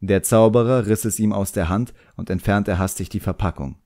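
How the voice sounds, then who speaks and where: A man's voice: on the low side, moderate pace, warm tone. A man narrating a fantasy novel as a scripted audiobook, in a storytelling style.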